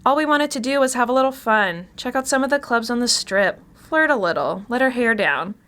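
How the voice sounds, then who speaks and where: A woman's voice: on the high side, lively intonation, fairly quick, fairly bright tone. Chatty, wry woman confessing as an actress plays her. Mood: wry, confiding. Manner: confiding, wry, conversational. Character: chatty, wry Los Angeles woman past thirty confessing. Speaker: actor reading a short story. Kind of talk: first-person dramatic monologue, conversational storytelling.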